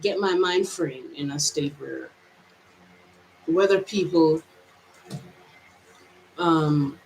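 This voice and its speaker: A woman's voice: deep voice, very slow, gravelly, a little mellow, a little hiss or hum, poor audio. A woman from Jamaica with a mixed Jamaican and American accent, giving a reflective personal vlog monologue in a hesitant, searching way with many restarts. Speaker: vlogger.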